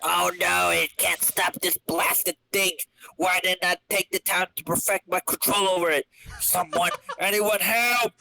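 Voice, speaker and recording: A man's voice: sits high, fairly even intonation, unhurried, creaky, pushed and bright, pitched above the performer's own voice, full character voice, rough recording. A man voicing a panicked, self-pitying comic-book villain who exclaims melodramatically in an amateur reading. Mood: panicked, desperate.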